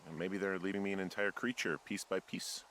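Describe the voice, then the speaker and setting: A lowish voice with faint noise behind it: an actor as a laid-back, middle-aged American man working as a fire lookout. He speaks in a dry, understated, slightly hesitant way, as in a story-driven video game. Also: fairly even intonation, fairly quick, lightly acted, rough recording. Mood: curious, dry. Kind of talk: naturalistic video game dialogue.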